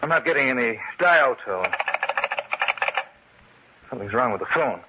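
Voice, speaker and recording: Uneasy, earnest man played by a male actor for vintage radio horror; medium pitch, muffled and noisy recording. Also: animated, normal speed, natural voice. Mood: urgent, concerned. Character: nervous, frightened male friend investigating a dark house. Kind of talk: old-time radio horror acting.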